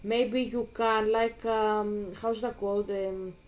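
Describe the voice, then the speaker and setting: A woman's voice: mid-range voice, slow pace, dull audio. A woman with a Greek accent, a native Greek speaker, giving blunt, swear-filled commentary while playing a video game. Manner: blunt, swears a lot, animated rants. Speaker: gaming vlogger. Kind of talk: casual gameplay commentary.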